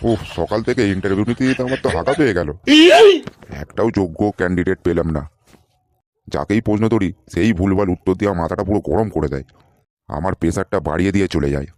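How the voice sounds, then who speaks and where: A man's voice: sits low, quick pace, a little mellow, lightly acted. A man voicing an irritable, sarcastic boss in a comedy cartoon, scolding and dismissive. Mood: weary, irritated. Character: irritable, sarcastic male boss interviewing job seekers.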